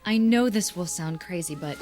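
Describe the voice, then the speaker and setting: An actress in a radio drama playing a supportive, gently teasing young woman. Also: on the low side, moderate pace, over music, lightly acted. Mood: concerned, tentative.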